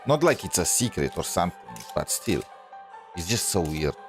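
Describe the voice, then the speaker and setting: A man's voice: low voice, very animated, normal speed, faint music behind. A man giving casual live game commentary as a streamer, blunt and rambling.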